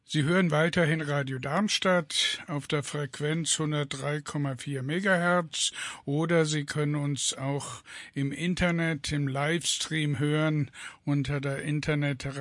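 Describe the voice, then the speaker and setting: A man's voice: a little high, a bit bright, dry recording. A man hosting a community radio talk show, introducing guests in a studio. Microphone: studio microphone.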